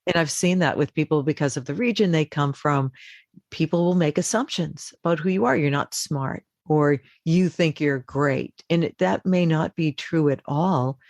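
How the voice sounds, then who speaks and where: A woman from Boston, a voice actor, chatting as an interview guest with quick, animated restarts. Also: low voice, dry recording. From Boston, United States. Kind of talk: conversational interview.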